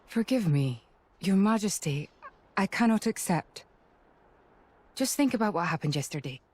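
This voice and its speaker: A woman's voice: mid-range voice, animated, moderate pace, darkish tone, dry recording, natural voice. A woman playing a dutiful, devoted imperial officer in a video game, speaking formally and earnestly. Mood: resolute. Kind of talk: dramatic video game voice acting.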